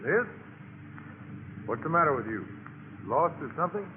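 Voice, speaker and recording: A man's voice: a little high, very animated, normal speed. An actor playing an easygoing, friendly young college man in a 1950s radio drama. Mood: casual, teasing. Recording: echoing, noisy, tinny 1950s radio recording.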